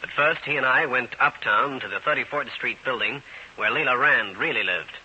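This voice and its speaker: An American actor playing a tough, wry New York private detective, narrating and talking briskly in 1940s radio drama style. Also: male voice, mid-range voice, pushed and bright, breathy edge. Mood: matter-of-fact. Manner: brisk, hard-boiled, clipped delivery. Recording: hissy, dull old-time radio recording.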